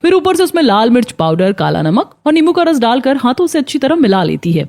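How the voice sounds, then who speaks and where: A woman's voice: a little high, big pitch swings, brisk, a little mellow. A woman narrating a scripted children's moral story.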